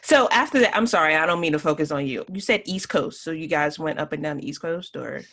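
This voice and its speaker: A woman host in casual interview chat, her voice sitting a little low. She talks fast and chatty, often breaking into laughter. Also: lively intonation, fairly bright tone. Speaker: podcast host.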